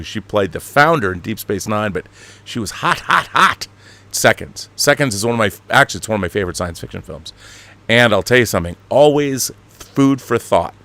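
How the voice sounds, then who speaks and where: A man's voice: lowish voice, a bit bright. Theatrical American man hosting an online show. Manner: theatrical, grandiose, playful showman. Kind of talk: casual, rambling monologue to viewers, reading viewer mail.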